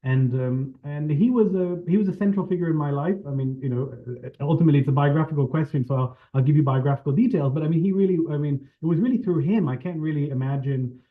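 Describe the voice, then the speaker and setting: Male remote panelist, mid pitch, tinny phone-line audio, meandering. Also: fast talker, hardly any pauses. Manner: thoughtful, circling, many fillers. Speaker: panelist translator. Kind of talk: panel talk on a video call.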